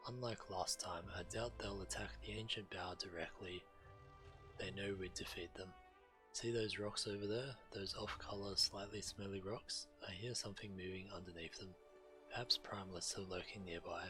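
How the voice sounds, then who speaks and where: Deep-voiced man reading game quests aloud. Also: steady tone, normal speed. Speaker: game streamer. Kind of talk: reading quest text aloud while playing a video game. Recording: loud game music behind. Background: video game music.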